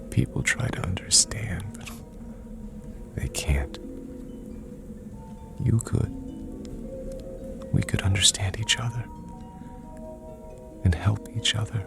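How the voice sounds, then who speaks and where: An actor playing a lonely, lovestruck, shy man, confiding tenderly and haltingly in a hushed voice in an old drama. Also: fairly low pitch, gravelly, full character voice. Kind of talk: mid-century television drama acting. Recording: vintage drama audio with music underneath.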